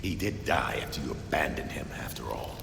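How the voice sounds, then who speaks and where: A man's voice: extremely low pitch, lively intonation, fairly quick, vocal fry, heavily acted. An actor playing a menacing, smug male crime-cabal leader in a video game, theatrical and mocking. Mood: smug, contemptuous. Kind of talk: video game cutscene acting. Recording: echoey hall, background noise.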